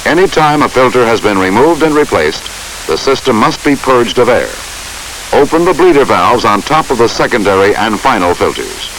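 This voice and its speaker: A man reads scripted instructional narration for a training film in a measured, formal way. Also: medium pitch, sing-song, fairly bright tone, rough recording. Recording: hissy old training film soundtrack.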